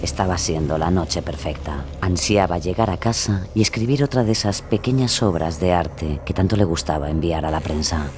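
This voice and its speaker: A man's voice: deep voice, normal speed, hardly any pauses, a little mellow, soft background music. A man narrating a scripted true-crime drama in a suspenseful storytelling manner. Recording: clear night ambience with crickets.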